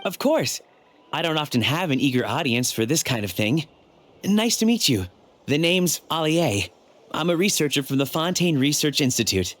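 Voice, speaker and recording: An eager researcher who loves history. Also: male voice, a little high, big pitch swings, moderate pace, fairly bright tone. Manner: expository, enthusiastic lecturing. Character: adult male researcher, eager history enthusiast. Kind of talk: video game voice acting.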